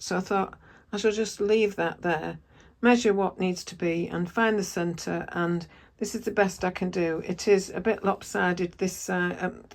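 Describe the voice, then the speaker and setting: A woman with a croaky voice, chatting casually through her sewing work and correcting herself as she goes. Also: lowish voice. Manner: chatty, self-correcting, thinks aloud while working. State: croaky voice, coughing. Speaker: sewing vlogger. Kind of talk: casual sewing vlog narration.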